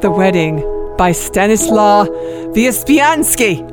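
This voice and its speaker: An actress playing a proud, gracious theater hostess in a radio drama, formal and welcoming. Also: female voice, mid-range voice, lively intonation, takes it slowly, over music. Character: proud, gracious mistress of ceremonies at secret theater.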